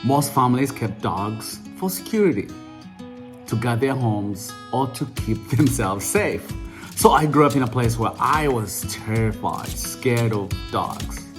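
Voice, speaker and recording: Man, reflective vlog storyteller, mid-pitched voice over guitar music; unhurried, searching for words. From Uganda. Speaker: vlog host. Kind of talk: casual storytelling to camera.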